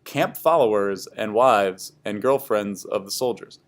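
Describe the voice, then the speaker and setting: A man's voice: on the low side, unhurried. A man reading scripted educational history narration aloud for a class.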